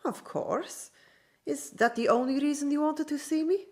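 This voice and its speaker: An actress playing a confident, flirtatious circus performer in a radio drama. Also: female voice, sits high, normal speed, darkish tone, lightly acted. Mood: teasing, defiant.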